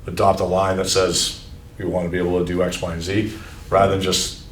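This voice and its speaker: Deep voiced superintendent at a board meeting, hesitant, echoey room, mic far off. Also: male voice, a little hiss or hum. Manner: hesitant, thinking aloud with restarts. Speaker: school superintendent.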